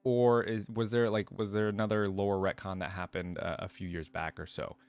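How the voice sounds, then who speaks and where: A man's voice: lowish voice, level tone, normal speed, darkish tone, clean tone, dry recording. A man hosting a reaction video, reading lore aloud and thinking through it in casual commentary with rhetorical check-ins. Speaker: reaction channel host.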